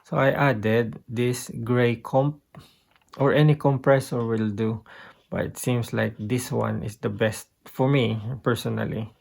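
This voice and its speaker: Male, Filipino accent, casual gear-tutorial presenter. Unhurried, halting delivery, mid-pitched with a creaky edge. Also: fairly even intonation, a little mellow. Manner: halting, thinking aloud while pointing out settings. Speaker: guitarist giving a gear tutorial. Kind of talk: casual unscripted demonstration.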